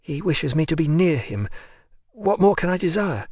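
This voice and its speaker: A man reading the part of a resigned, emotional young woman prisoner in an audiobook, his voice a touch raised and soft. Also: fairly high pitch, sing-song, moderate pace, no echo, studio quality, pitched above the performer's own voice. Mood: earnest.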